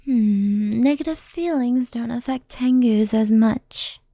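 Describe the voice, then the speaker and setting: A woman playing a gentle, anxious, centuries-old fox spirit in an ASMR roleplay, speaking softly and often whispering close to the microphone. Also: fairly high pitch, no echo. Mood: pensive. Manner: soft, breathy, often whispered, unhurried.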